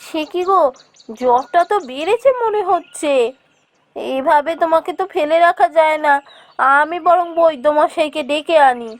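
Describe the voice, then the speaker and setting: Village housemaid and wife for a cartoon, acted by a woman: high, caring, fretful, deferential. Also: normal speed, no echo, natural voice. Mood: worried, caring. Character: caring, worried village wife working as a maid. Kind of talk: cartoon voice acting.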